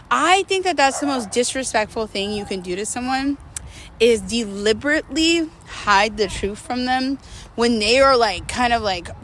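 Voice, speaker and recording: A woman's voice: fairly high pitch, fairly bright tone, a little hiss or hum. A woman talking casually and confessionally to her viewers, in a candid, rambling, emphatic way with slangy wording. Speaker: host of a personal vlog series.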